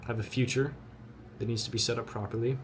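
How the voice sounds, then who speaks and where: A man's voice: mid-range voice, fairly even intonation, fast, a little hiss or hum. A twenty-year-old man giving a blunt, earnest motivational talk to the camera. He repeats himself often and talks straight to his listener. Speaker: vlogger.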